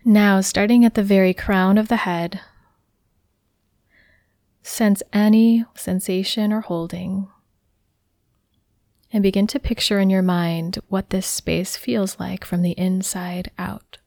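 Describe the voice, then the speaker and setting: A woman guiding a body scan meditation in a soft, soothing, unhurried way, with breath at the ends of phrases. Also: mid-range voice, level tone, creaky, no echo, studio quality. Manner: soothing, unhurried, gently instructing. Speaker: meditation guide.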